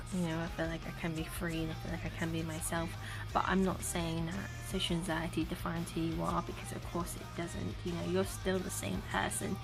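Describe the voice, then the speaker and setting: A young woman with a slightly croaky voice from a sore throat, talking earnestly to camera in a casual vlog, full of "you know" fillers. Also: lowish voice. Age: young adult. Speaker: vlogger. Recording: music playing in the background.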